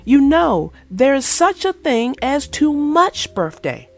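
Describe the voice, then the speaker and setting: A woman voicing a cautious, sensible mother bear in a soft, measured way. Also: sits high, very animated, soft background music, full character voice. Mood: cautioning.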